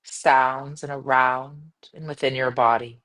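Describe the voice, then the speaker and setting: Woman meditation guide: gentle, soothing delivery, measured phrasing, long pauses between instructions. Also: rumbling low, steady tone. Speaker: yoga nidra meditation guide.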